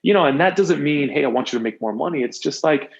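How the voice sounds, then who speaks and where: A man's voice: a little high, expressive, fast talker, vocal fry. Muffled, conversational man in his thirties. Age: 30s. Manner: open, rambling, repeats phrases for emphasis. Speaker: interview guest; motivational coach, podcast host, former athlete. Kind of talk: casual podcast conversation. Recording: muffled, dull lo-fi audio.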